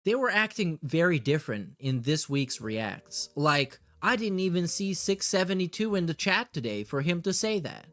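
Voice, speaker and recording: A man's voice: fairly high pitch, big pitch swings, moderate pace, few pauses, fairly bright tone, no echo. A man hosting a reaction show in a casual, blunt, sardonic way, reading messages aloud and swearing as he comments.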